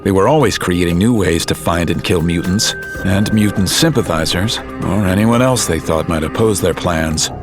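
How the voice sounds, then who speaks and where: A man giving steady, measured scripted narration for an audio drama. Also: deep voice. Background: music.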